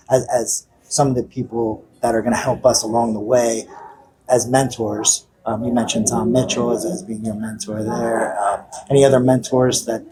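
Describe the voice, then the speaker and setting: A man's voice: mid-range voice, poor audio. Guy hosting a podcast, laid-back, meanders through his questions, crowd chatter in the back. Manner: easygoing, rambling questions. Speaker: podcast host. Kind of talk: casual interview.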